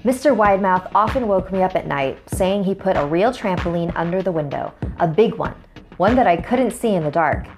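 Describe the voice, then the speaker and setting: Low-voiced woman narrating a horror story. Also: expressive, a little fast, music in the background. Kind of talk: scripted first-person horror story narration.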